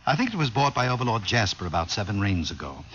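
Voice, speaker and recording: An actor playing a courteous, resigned older overlord in a 1950s radio drama, making urbane, hesitant small talk. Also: male voice, on the low side, big pitch swings, fast, fairly bright tone. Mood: genial, resigned. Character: genial, courteous doomed overlord, resigned older male ruler. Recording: dull, noisy vintage radio recording.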